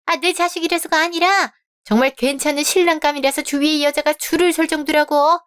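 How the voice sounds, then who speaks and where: A woman's voice: high voice, fairly even intonation, fairly quick, a bit bright, pitched above the performer's own voice. A woman voicing a haughty, scornful middle-aged future mother-in-law in a radio drama, talking down and scolding. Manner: condescending, scolding, talks down.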